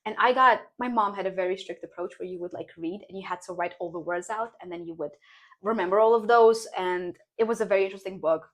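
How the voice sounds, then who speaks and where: Fast-talking young woman, podcast guest. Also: fairly low pitch. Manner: fast, animated storytelling with slang. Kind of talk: casual podcast chat.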